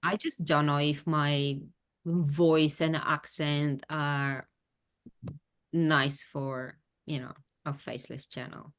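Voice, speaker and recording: A woman's voice: deep voice, takes it slowly. Woman with a mixed international accent. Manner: laughs often, animated when telling stories. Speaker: host of an online group call. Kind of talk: casual group video chat.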